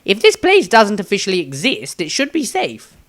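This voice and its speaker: A man voicing an excitable, flippant, theatrically indignant male wizard in a fantasy storybook. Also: high voice, normal speed, pitched above the performer's own voice, exaggerated voice. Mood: cheeky reasoning. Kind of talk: storybook character acting.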